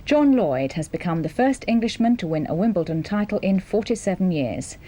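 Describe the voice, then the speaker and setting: A British woman reading a scripted news bulletin in a formal, measured manner. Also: fairly low pitch, expressive, dark tone. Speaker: television newsreader.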